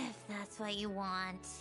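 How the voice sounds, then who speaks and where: A long-suffering woman researcher in a video game. Her mid-pitched voice moves in lively, expressive swings, dry and wry, with music clearly behind it. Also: takes it slowly. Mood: hesitant. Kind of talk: video game character dialogue.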